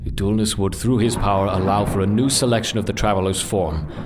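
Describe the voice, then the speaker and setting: A man playing a serious, precise scientist ghost hunter in an audio drama, speaking formally and gravely. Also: lowish voice, fairly even intonation, fairly quick, noisy background, close to an everyday voice.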